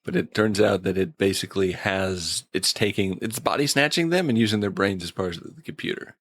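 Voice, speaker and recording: A man's voice: deep voice, a little fast, a bit bright, no room sound. A man chatting casually as a guest on a podcast. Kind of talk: casual chat.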